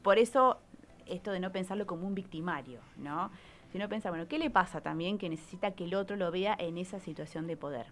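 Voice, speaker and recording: A woman with a slightly low voice and an Argentine accent speaks over clear background noise. She explains things like a psychologist in a radio column, didactically, punctuating her phrases with little questioning tags. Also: brisk. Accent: Argentine Spanish. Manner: didactic, often ending phrases with check-in tags. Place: radio studio. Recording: clearly noisy radio recording.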